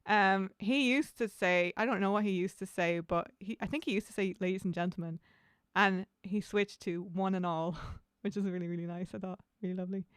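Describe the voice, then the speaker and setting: A woman hosting a casual livestream interview, chatty and animated, with frequent laughs and fillers. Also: mid-range voice, a little fast, smooth, no echo, clean studio sound.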